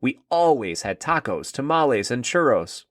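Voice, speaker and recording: A man's voice: medium pitch, big pitch swings, normal speed, no echo, clean studio sound, close to an everyday voice. A man voicing an eager, proud young schoolboy in a children's story, earnest and enthusiastic.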